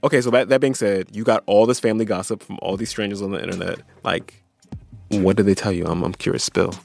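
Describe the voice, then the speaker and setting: A man co-hosting a podcast in a casual, curious, relaxed chat. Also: lowish voice, fast talker, creaky, faint music behind. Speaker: podcast co-host.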